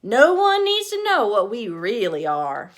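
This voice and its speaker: A woman's voice: on the high side, huge pitch swings, a little slow. A woman voicing her devout, commanding Southern debutante mother with a thick Texas accent. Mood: wry. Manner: commanding, matter-of-fact.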